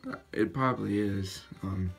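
A man's voice: fairly low pitch, moderate pace, vocal fry. A teenage boy vlogging casually from his bedroom, self-mocking and chatty as he laughs at himself. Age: teens. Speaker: YouTuber. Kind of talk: casual reaction vlog. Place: bedroom, indoors. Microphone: built-in webcam mic at arm's length. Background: faint background music.

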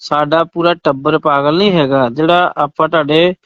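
A man's voice: on the high side, steady tone, normal speed, a bit bright, no room sound. A man with a Punjabi accent on a comic phone call, answering in protest and repeating himself. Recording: dull, lo-fi phone call recording.

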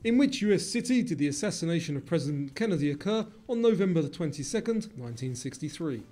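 A man's voice: a little high, very animated, fast, warm tone. A man presenting a quiz, reading scripted questions and answers.

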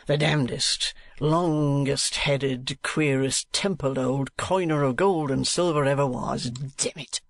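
A foppish storybook dandy played by a man, mincing and affected. He draws his words out in languid, effusive drawls, with a creaky edge and a close, dry sound. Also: mid-range voice, steady tone, takes it slowly, hardly any pauses, dry recording. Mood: teasing admiration. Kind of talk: audiobook character voice.

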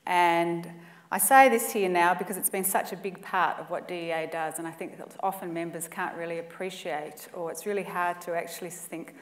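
A woman's voice: on the low side, steady tone, normal speed, recorded from a distance. A woman in her forties with an Australian accent, giving an emphatic, earnest presentation at a conference lectern. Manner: earnest, emphatic, builds lists for effect. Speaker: conference speaker. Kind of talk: presentation talk. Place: conference room or lecture hall, indoors. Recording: echoey hall, mic set back.

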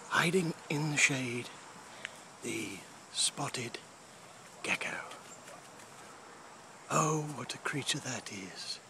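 A man hosting a playful mock wildlife documentary in a theatrical way, sometimes hushed and repeating his phrases. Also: medium pitch. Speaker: host of homemade nature show. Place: outdoors in a grassy yard by trees. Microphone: handheld phone camera, speaker behind it. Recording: outdoor phone audio with insect noise.

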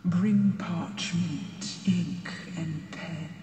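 A woman voicing a gentle, scholarly female dragon in a fantasy ASMR roleplay, speaking formally and deliberately in hushed, breathy tones. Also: fairly low pitch, fairly even intonation, soft background music. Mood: stern but kind. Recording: cavernous reverb, distant, noisy ambience.

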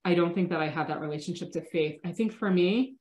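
A woman's voice: fairly low pitch, quick pace. A woman in her thirties, a rabbi teaching an online class from her home study, talking in a thoughtful, casual way with many restarts, sniffly from a cold. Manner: thoughtful, hesitant restarts, warm engagement with participants. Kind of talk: casual online lecture answering chat questions. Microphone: laptop webcam video call. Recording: roomy webcam audio.

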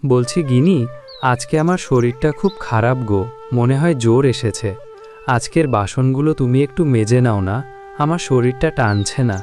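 A man's voice: medium pitch, animated, moderate pace, a little mellow, faint music behind, natural voice. Man acting a weary henpecked cartoon husband. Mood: weary pleading. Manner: plaintive, weary, meek grumbling. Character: henpecked, long-suffering poor village husband. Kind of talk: cartoon dialogue.

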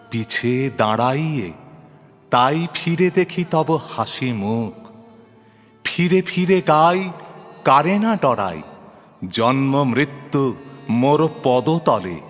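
A man reciting devotional poetry in a solemn, declamatory way with drawn-out, chant-like phrasing. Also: mid-range voice. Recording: long hall reverb, music behind. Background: music.

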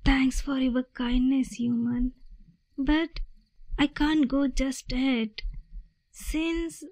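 A woman voicing a sweet, gentle vampire in a soft, hushed, reassuring ASMR roleplay, coaxing her human prey. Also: high voice, no room sound, rough recording.

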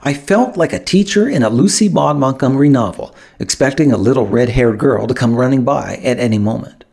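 A man narrating a first-person audiobook in an expressive storytelling manner, with dramatic pauses. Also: mid-range voice, vocal fry. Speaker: author narrating his own audiobook.

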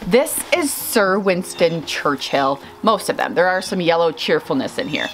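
A woman in her thirties chatting casually to camera as a vlog host, with an expressive, gushing and playful manner. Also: fairly low pitch, a little slow, soft background music, low-quality recording. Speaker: flower farmer and vlog host. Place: outdoors on a covered house porch.

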